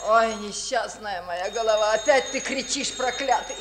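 A woman's voice: fairly high pitch, moderate pace, background noise, lightly acted. An actress playing an old village grandmother who scolds, laments and dotes with fussy exclamations, in 1950s radio comedy style. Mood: grumbling, scolding. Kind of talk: Soviet 1950s radio musical comedy acting. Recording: 1950s Soviet radio recording.

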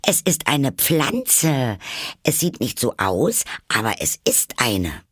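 A man's voice: lowish voice, expressive, measured pace, creaky, husky, no echo, studio quality, exaggerated voice. A man doing a polite, careful young girl.

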